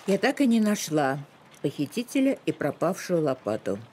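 A woman's voice: low voice, sing-song, normal speed, mellow, slight background noise. A woman playing a self-important, suspicious amateur detective and writer in a cartoon, pompous and theatrical as she interrogates. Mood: disappointed. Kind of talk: animated cartoon acting with narration. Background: music.

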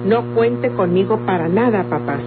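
An actress playing a resolute, reproachful grown daughter in an old-time radio drama. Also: female voice, medium pitch, moderate pace, noisy background, natural voice. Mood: stern, reproachful. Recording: muffled old radio, loud music.

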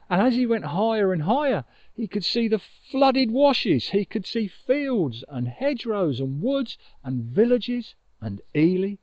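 A middle-aged man tells a story in a very lively, swooping voice, slow and full of dramatic pauses. He speaks outdoors into a lapel mic, and the sound is dry and slightly dull. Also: sits high, no room sound. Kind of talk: expressive children's storytelling. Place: outdoors on an open riverbank. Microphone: lapel mic with furry windshield.

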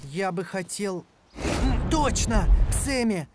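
A woman's voice: medium pitch, lively intonation, slow, music in the background. A woman voicing an eager, playful boy in a dubbed cartoon.

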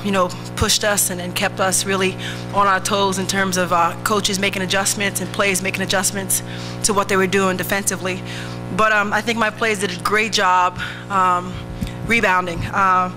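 A middle-aged woman coach answers questions at a press conference table. She talks in a flat, even tone, measured and full of fillers and repeats. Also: medium pitch, music in the background, rough recording. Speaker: head basketball coach. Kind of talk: post-game press conference remarks. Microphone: table microphone.

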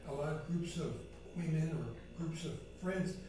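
A man's voice: a little high, normal speed. A man talking casually in a meeting discussion. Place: large indoor conference room, far microphone. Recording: distant echoey room, noisy background.

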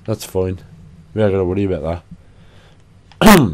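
A young man commentating casually over his game. He is tired and a little hoarse from a cough, chatty and sweary. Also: low voice, sing-song, normal speed. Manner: sweary, chatty, self-deprecating. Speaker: gaming channel host.

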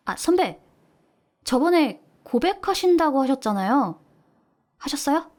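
A woman's voice: high voice, very animated, slow pace, exaggerated voice. An actress playing a cheerful, teasing young female office worker in an amateur audio drama. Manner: bright, teasing, eager.

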